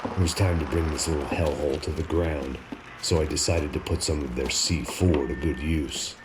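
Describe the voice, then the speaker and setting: A hard-bitten ex-cop narrating his own story in a video game. His voice-over is extremely deep, weary and dryly sardonic, in classic noir style. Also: steady tone, moderate pace, noisy background. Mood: grim.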